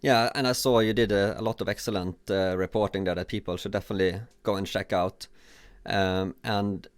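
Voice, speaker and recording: Male podcast host, lowish voice, halting delivery. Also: steady tone, clean tone, no room sound. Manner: halting, stumbles and restarts. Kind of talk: podcast interview.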